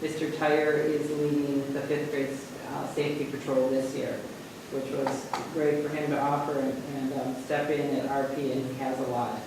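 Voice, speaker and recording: A man giving a school principal's report at a board meeting, halting with many fillers and restarts. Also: medium pitch, flat delivery. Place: large meeting room. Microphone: distant room mic. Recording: echoey room from far off, noisy.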